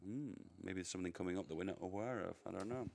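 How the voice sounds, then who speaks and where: A man's voice: low voice, rapid-fire, vocal fry, a little mellow. A man from Yorkshire with a Yorkshire accent, chatting casually and rambling as he commentates a live strategy game stream. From Yorkshire, England. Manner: chatty, rambling, laughs easily. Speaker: livestream commentator.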